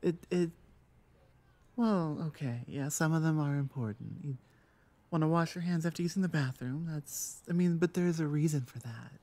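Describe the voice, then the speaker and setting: A woman's voice: low-pitched, dark tone, no echo. Woman acting a rebellious young oni, dry close sound. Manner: exasperated, rambling, halting stop-start delivery. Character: rebellious young female oni venting about tradition. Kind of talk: roleplay audio acting, one-sided conversation.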